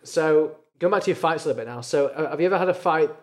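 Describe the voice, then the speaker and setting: A man's voice: a little high, fast talker. A man hosting a casual interview, asking questions and often agreeing. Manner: encouraging, frequent backchannel agreement, asks questions. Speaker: podcast host.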